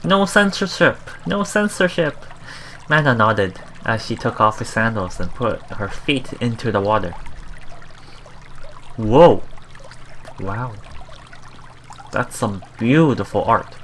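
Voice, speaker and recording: A man hosting a let's play, reading game text aloud and adding halting, musing casual commentary, with water sounds behind him. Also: medium pitch, animated, a bit bright, a little hiss or hum.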